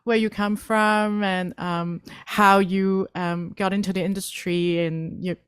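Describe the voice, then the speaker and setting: A woman's voice: medium pitch, little pitch movement, a little slow, clean tone, no echo, studio quality. A woman hosting a conversational interview in a polite, attentive manner. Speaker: interview host.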